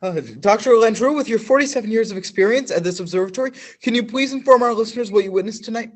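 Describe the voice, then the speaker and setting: A man's voice: high voice, fast talker. A young man playing a stammering, nervous radio reporter. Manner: stammering, hesitant. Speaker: student actor. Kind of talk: stage radio-play acting.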